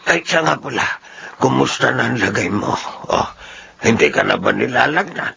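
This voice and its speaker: An actor playing a doting, hardworking old grandfather in a Filipino radio drama, speaking slowly and tenderly with an aged, gravelly voice. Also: medium pitch, lively intonation, sharp tone, heavily acted. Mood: tender, worried. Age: older. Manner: slow, tender, fussing. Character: doting, hardworking old grandfather who sells homemade candy. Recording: dull, slightly noisy radio recording.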